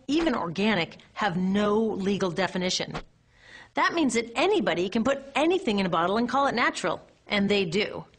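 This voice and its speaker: A woman's voice: medium pitch, slight background noise. A woman with an American accent presenting a scripted explainer in a conversational, wry way, often posing rhetorical questions. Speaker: narrator and presenter.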